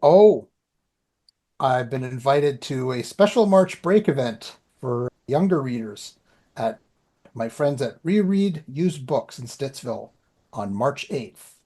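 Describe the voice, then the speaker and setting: A man's voice: mid-range voice, lively intonation, takes it slowly, mellow. Rambling, self-deprecating Canadian man, chatting casually. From Ottawa area, Canada. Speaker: podcast co-host and author. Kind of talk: casual chat, personal updates.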